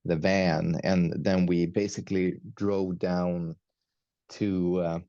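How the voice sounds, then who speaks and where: A very deep, gravelly male voice with a dark, mellow tone, speaking slowly. He is a Swedish-native metal musician being interviewed, hesitant, with frequent fillers and restarts. The sound is dry and a bit dull, like a lo-fi call. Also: flat delivery, no room sound. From Sweden. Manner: hesitant, many fillers and restarts, laughs often. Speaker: guest musician, metal band. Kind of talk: casual interview chat.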